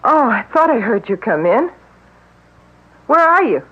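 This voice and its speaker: Anxious, hesitant wife, breathy and lively, old radio-drama feel. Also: female voice, fairly high pitch, measured pace, full character voice. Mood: nervous. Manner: nervous, hesitant. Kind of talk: 1970s radio drama acting. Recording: tinny old radio broadcast.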